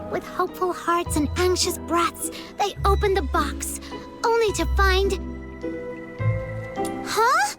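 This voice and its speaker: A woman voicing an excitable, theatrical young girl adventurer in a video game. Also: very high-pitched, takes it slowly, pauses often, over music. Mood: playful, dramatic. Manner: theatrical, exclaiming.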